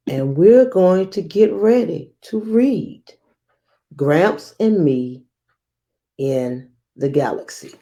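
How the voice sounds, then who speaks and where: A woman hosting a children's read-aloud as a teacher, speaking in a measured, expressive storytelling manner. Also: on the low side.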